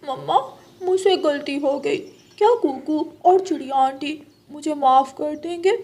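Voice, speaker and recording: A woman's voice: sits high, unhurried, mellow. A woman voicing a naughty young boy crow in a children's moral cartoon, pleading and whiny. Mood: remorseful.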